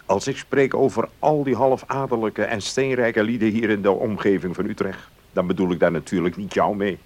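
Actor as an opinionated, philosophical gentleman baron. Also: lowish voice, natural voice. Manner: declamatory, deliberate argument. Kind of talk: Dutch radio play acting.

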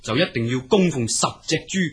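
A man's voice: medium pitch, expressive, a little fast, slightly rough. A man with a Cantonese accent playing a greedy, bullying river god in an audio drama, imperious, scornful and commanding. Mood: haughty, scornful.

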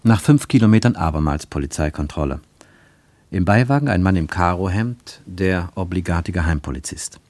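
Male radio-drama narrator, lowish pitch, measured and literary, dry studio read. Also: a little mellow, no echo. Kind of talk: scripted radio-play narration.

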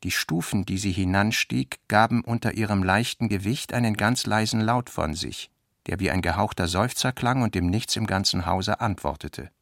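A man narrates literary prose for a radio play in a low voice with a gravelly edge. His reading is measured and carefully articulated, and it sounds close and dry. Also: fairly bright tone, no echo.

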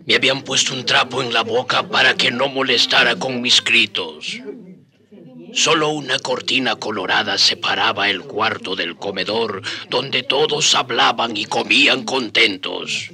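An actor playing a captive native man who gravely narrates his memories in a radio drama. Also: mid-range voice, measured pace, pushed and bright. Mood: grave, sorrowful. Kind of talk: scripted first-person drama narration.

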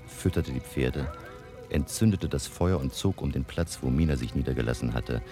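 An older man playing a grave vampire hunter in a German radio drama, narrating his diary solemnly with a gravelly voice. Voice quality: very deep, gravelly. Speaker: narrator and actor.

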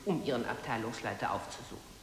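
A performer playing a brisk voice of instruction in an absurd office radio play, formal and emphatic. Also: medium pitch, slight background noise, close to an everyday voice. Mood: brisk, instructing. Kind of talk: scripted radio play, second-person instructions.

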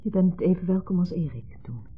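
Husky, restrained wife. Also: female voice, on the low side, expressive, fairly quick. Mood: polite, composed. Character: composed wife caught between husband and ex. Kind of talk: 1970s Dutch radio drama acting. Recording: muffled old 1970s radio recording.

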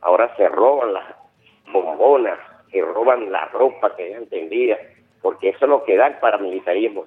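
A middle-aged Venezuelan man calling a radio show, talking in an insistent, rambling way and repeating himself. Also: mid-range voice. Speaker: caller. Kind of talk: phone-in radio call. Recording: phone line with faint music.

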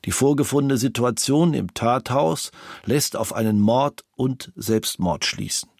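A sober policeman in a radio documentary, with a medium-pitched male voice. He delivers an official report formally and precisely, close up in a dry studio. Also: measured pace, creaky, darkish tone, no echo. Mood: matter-of-fact. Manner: matter-of-fact, formal, precise. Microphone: close studio microphone.

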